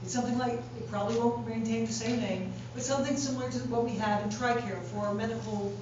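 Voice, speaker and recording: A woman candidate giving a measured, plain-spoken speech at a public forum, repeating phrases for emphasis. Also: fairly high pitch, fairly even intonation. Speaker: political candidate. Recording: echoey hall, distant mic, dull sound, background noise.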